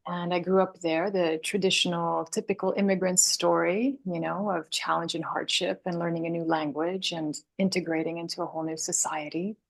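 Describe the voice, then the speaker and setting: A woman raised in Canada, a guest speaking thoughtfully and softly in an interview, laughing easily. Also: fairly low pitch, fairly even intonation, normal speed, vocal fry, few pauses. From Hungary, raised in Canada. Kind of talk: reflective interview conversation.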